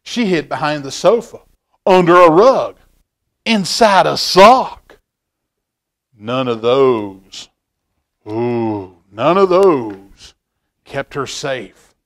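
A middle-aged man with a somewhat high voice hosts a children's storytime. He reads expressively and talks to young listeners in a folksy way, often repeating a phrase to drive it home. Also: expressive, drawn-out words, long pauses, a bit bright. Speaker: library storytime reader, stand-in host. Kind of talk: animated picture-book read-aloud with asides to children. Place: indoor library room, seated at a table.

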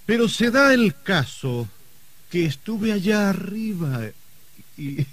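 Radio play villain-host: higher-pitched, husky, sardonic uncle, theatrical and gleefully menacing, slow delivery. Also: male voice, very animated, fairly bright tone, full character voice. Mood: mocking, ominous. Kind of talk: melodramatic radio drama acting.

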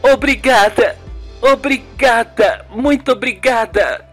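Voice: mid-range voice, slow, fairly bright tone, soft background music, voice pushed up. A performer voicing a tense, emotional, effusive woman TV presenter in a radio soap. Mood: tearful gratitude. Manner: pleading, effusive.

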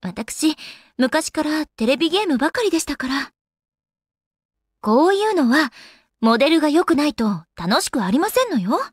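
A woman's voice: high voice, sing-song, normal speed, dry recording, studio quality. A woman playing an elegant, doting young goddess in an anime game, speaking in polite, ladylike phrases. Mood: doting, playful. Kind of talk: Japanese anime game voice acting.

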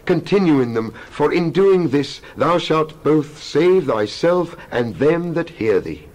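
A man reading scripture aloud in a dramatized style, solemn and declamatory, in measured phrases. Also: a little high, expressive, darkish tone, low-quality recording. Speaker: Bible reader.

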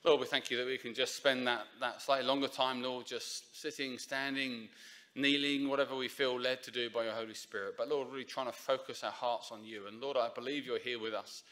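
A man's voice: medium pitch, fairly even intonation, brisk, bright, forceful tone. A man, preaching an informal, chatty sermon at a church lectern, with many fillers and restarts. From Essex, England. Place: church sanctuary. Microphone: lectern microphone.

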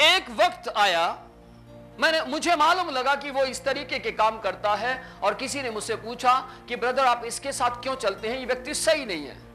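Brief: male pastor, 30s, high bright voice, animated storytelling sermon, faint music underneath. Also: normal speed. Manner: animated preacher, acts out dialogue with sweeping gestures. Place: small indoor stage with curtains.

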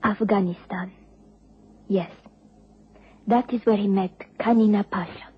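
An actress playing a proud, mysterious young woman in a radio drama, speaking formally and earnestly in measured phrases. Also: mid-range voice, steady tone, breathy edge. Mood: proud, revealing. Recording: dull old radio recording.

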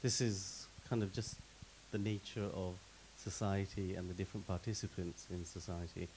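Panelist, middle-aged man, low voice, handheld mic, faint hiss, expansive and list-heavy. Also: little pitch movement, normal speed, a little mellow, poor audio. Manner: expansive, repeats words when starting, gives lists of examples. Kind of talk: panel discussion answer. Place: stage in a meeting hall with audience.

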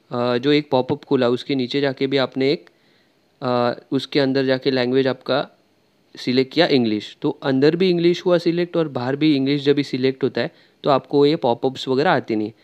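A man's voice: medium pitch, steady tone, normal speed, smooth, muffled recording. A man talking through a screen-recorded tutorial step by step, chatty and loose, with frequent filler sounds. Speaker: tech tutorial creator.

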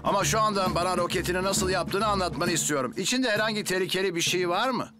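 Cartoon dub: man as dependable firefighter hero, highish reassuring voice with authority, loud music beneath. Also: quick pace, close to an everyday voice. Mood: firm, reassuring. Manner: encouraging, authoritative. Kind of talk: animated children's cartoon dub.

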